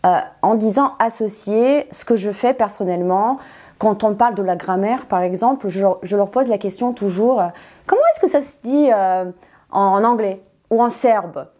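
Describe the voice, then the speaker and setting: Mellow-voiced teacher, woman. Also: mid-range voice, normal speed. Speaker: non-native French teacher, interview guest. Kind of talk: conversational explanation in an interview.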